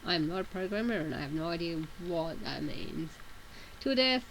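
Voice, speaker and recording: A woman's voice: lowish voice, expressive, moderate pace, a bit bright, slight background noise, low-quality recording. Woman reading aloud with a sore throat. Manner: casual, chatty asides between read text. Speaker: reader of a webcomic. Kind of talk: reading a webcomic aloud with asides.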